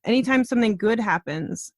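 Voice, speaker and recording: A woman hosts a conversational podcast in a reflective, easygoing way. Her mid-pitched voice sounds close and dry, with no room echo around it. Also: expressive, a little fast. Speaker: podcast host.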